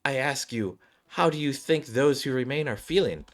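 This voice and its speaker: A man's voice: medium pitch, animated, normal speed, pitched above the performer's own voice, close to an everyday voice. A man voicing an indignant woman soldier who speaks in formal, archaic diction in a video game story. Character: angry archaic-speaking woman soldier with a sword, confronting her commander.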